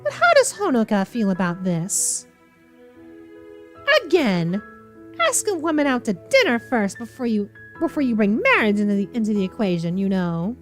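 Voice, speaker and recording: A woman's voice: mid-range voice, wildly swooping pitch, normal speed, faint music behind. A woman doing playful, theatrical commentary on a game as a streamer, with a teasing, sassy manner. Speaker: streamer reacting to visual novels. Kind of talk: playful gaming commentary with dialogue read aloud.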